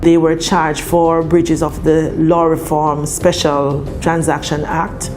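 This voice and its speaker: Low, even-voiced Jamaican woman, official and halting. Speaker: senior police officer interviewed. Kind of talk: formal spoken interview. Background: background music.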